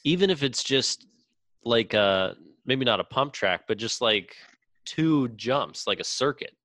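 A man's voice: mid-range voice, expressive, measured pace, fairly bright tone, dry recording, clean studio sound. A man chatting casually with friends as a podcast co-host, in an informal, sweary way. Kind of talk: casual chat among friends.

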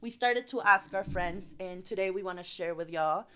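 Smooth, bright-voiced bilingual woman host. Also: lowish voice, moderate pace. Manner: chatty, code-switching, lots of fillers like "like" and "so". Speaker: podcast co-host. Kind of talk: casual podcast chat.

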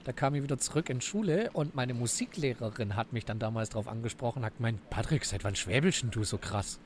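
A man's voice: mid-range voice, expressive, fast talker. Swabian man telling stories, faint background hum. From Swabia, Germany. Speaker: podcast guest. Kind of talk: casual chat.

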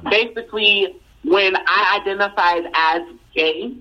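A woman in casual conversation as a guest, who repeats her phrases and fills pauses with "you know.". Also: mid-range voice, dull audio.

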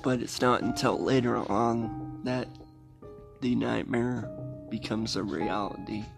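A man hosting a podcast, reading a scripted introduction in deliberate, halting phrases. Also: medium pitch, fairly even intonation, gravelly. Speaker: podcast host. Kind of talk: scripted introduction of old radio plays. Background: music.

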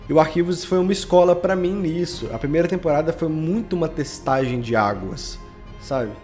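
A man's voice: fairly high pitch, brisk, a little mellow, faint music behind. A Brazilian man chatting casually as a podcast guest, explaining his creative work in informal, slangy speech. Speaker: podcast guest, audio drama creator and editor. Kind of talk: casual interview chat.

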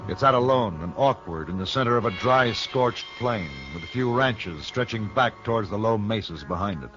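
Male, American, very low urbane gunfighter, dry but expressive, muffled vintage radio western. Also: normal speed, faint music behind. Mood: grim narration. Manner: urbane, dry, formal diction. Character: suave, worldly gunfighter for hire, dryly commanding. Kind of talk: 1950s radio western acting. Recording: muffled old radio broadcast.

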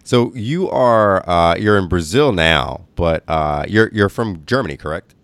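Deep-voiced upbeat podcast host. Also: male voice, lively intonation, measured pace, a bit bright, dry recording. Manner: upbeat, repeats praise, filler uhs. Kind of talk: podcast interview.

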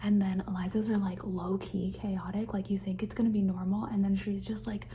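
A young adult woman whispering an ASMR question-and-answer session into a phone, rambling and softly repeating words as triggers. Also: medium pitch, flat delivery, a little fast, a little hiss or hum. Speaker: ASMR creator.

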